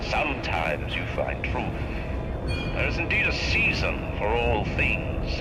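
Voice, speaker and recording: An imperious older city founder in a video game, lofty and commanding with a theatrical, oratorical sweep. He speaks slowly and with great weight, his pitch rising and falling dramatically from line to line. Orchestral music plays loudly behind him. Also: heavily acted, low-quality recording. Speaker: game character. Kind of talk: video game voice acting, audio diary monologue. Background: orchestral game score.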